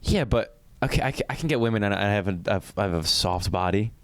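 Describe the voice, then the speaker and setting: A young man co-hosting a comedy podcast, riffing in a deadpan, casual way and repeating his points. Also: on the low side, fast talker, no echo. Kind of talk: casual banter.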